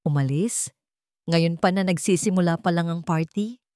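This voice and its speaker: A woman's voice: fairly low pitch, moderate pace, mellow, lightly acted. A woman voicing a vengeful, unhinged woman with a Filipino accent in a dramatic story, taunting and menacing.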